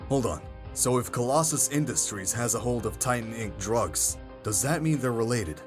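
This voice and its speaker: A man's voice: medium pitch, lively intonation, moderate pace, over music, lightly acted. A man voicing a sarcastic, foul-mouthed young team member in a fan audio drama. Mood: doubtful. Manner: sarcastic, swearing.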